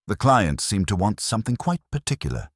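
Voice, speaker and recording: A man voicing a nervous, fastidious shop window dresser in a detective story, precise and deferential. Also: lowish voice, very animated, creaky, no room sound, studio quality, voice pushed up, full character voice. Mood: nervous, defensive.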